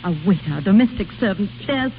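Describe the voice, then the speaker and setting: A woman's voice: medium pitch, expressive, fast talker, natural voice. Old tinny radio play, actress doing a snooty bossy noblewoman, theatrical, very swoopy delivery. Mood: indignant. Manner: theatrical, commanding, sharply questioning. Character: haughty, imperious exiled noblewoman, proud and easily offended. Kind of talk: 1930s radio drama acting. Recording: scratchy, thin old radio recording with hiss.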